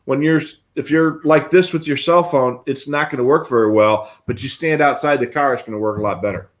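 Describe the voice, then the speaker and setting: A middle-aged American man talks at an average pitch for a male voice. He explains practical things casually, in a folksy, conversational manner, like a co-host on a hobby livestream. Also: a little fast. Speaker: co-host of a radio scanner show. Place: indoor home radio room on a video call.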